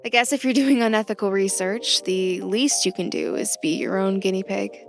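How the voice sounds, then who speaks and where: A woman's voice: medium pitch, normal speed, vocal fry, faint music behind, close to an everyday voice. Audio drama: young female newcomer, played by a woman, curious yet wary, natural conversational delivery, mid-range voice. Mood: inquisitive. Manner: conversational, inquisitive. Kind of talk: audio fiction podcast acting.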